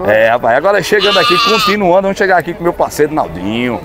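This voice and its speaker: A man, chatting casually as he films a livestock market on a phone, tagging his sentences with quick questions. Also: on the high side, sing-song. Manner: chatty, friendly, frequent "né?" tags. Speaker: vlogger touring a livestock market. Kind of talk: casual walk-and-talk interviews. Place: busy outdoor goat and sheep market. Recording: crowded outdoor market noise.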